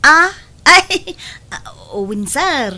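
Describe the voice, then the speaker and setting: A woman playing an excitable, exclamatory middle-aged mother and market vendor in a comedy radio-style drama. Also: high voice, very slow, heavily acted. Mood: coy, flustered.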